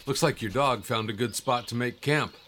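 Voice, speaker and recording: An actor playing a calm, mysterious, faithful guide in an audio drama, with a measured, gently probing delivery. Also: male voice, medium pitch, heavy background noise, close to an everyday voice. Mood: encouraging, knowing.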